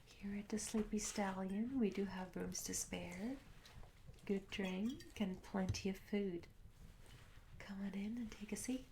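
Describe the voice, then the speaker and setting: A charming young tavern maid, acted by a woman in a fantasy ASMR roleplay. She speaks in a soft, breathy half-whisper, courteous and coy, with gentle storybook phrasing. The mic is close, with clear noise and small clicks around the voice. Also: mid-range voice, steady tone, a little slow. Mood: welcoming, attentive. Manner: gentle, polite, old-fashioned storybook phrasing. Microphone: close ASMR microphone.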